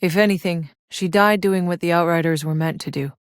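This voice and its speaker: A woman's voice: on the low side, fairly quick, clear, resonant tone, dry recording, lightly acted. An actress playing a tough, sardonic, weary but determined woman soldier in a video game, with a terse, dry, hard-edged delivery. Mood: somber. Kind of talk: acted video game voice lines.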